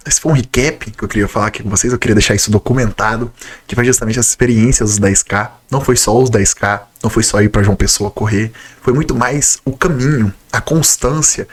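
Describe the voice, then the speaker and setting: A young man with a Brazilian Portuguese accent talks fast and eagerly straight to his viewers in a casual vlog. His voice sits at an ordinary middle pitch and has a slight nasal, stuffy quality from a cold. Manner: conversational, enthusiastic, direct address to viewers. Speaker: nutritionist vlogger. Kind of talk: casual talking-to-camera vlog.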